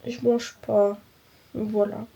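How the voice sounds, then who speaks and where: A young woman vlogging casually while sick with a cold and sore throat, speaking softly and sometimes dropping to a whisper. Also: mid-range voice, flat delivery, slow pace, gravelly, far from the mic, muffled recording, slight background noise. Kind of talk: casual vlog talk to camera.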